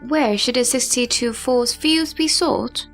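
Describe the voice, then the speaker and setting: Scripture audio drama: female performer for an inquiring bodhisattva, high voice, formal and earnest, faint music underneath. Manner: formal, measured questioning.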